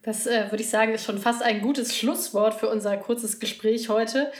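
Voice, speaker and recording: A woman's voice: fairly high pitch, fairly even intonation, moderate pace. A woman moderating a panel talk, friendly and wrapping things up.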